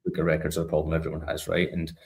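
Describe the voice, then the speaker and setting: Very low-voiced man explaining a product. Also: monotone, rapid-fire, vocal fry, darkish tone. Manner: informal, explanatory, checks in with tag questions. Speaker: software product expert, interview guest. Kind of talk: conversational product walkthrough.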